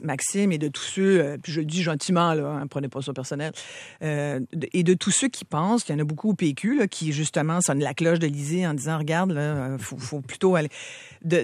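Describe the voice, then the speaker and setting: A man's voice: on the high side, no echo, studio quality. A man with a Québécois French accent hosting a talk show in a casual, conversational way. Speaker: host.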